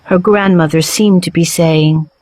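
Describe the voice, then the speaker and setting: Slow-paced woman narrating a fairy tale. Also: on the low side, fairly even intonation, warm tone, no echo. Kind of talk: scripted children's storybook narration.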